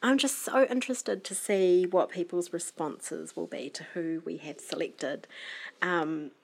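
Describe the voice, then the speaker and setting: A woman's voice: lowish voice, unhurried, vocal fry. A woman co-hosting a casual book podcast, frank and opinionated. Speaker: podcast co-host. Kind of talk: casual chat.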